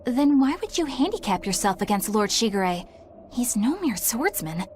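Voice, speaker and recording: A prim, skeptical young woman in a video game. Her voice is high and her manner formal, with faint ambient noise behind. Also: sing-song, moderate pace. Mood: questioning. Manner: formal, proper. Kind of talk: English video game voice acting.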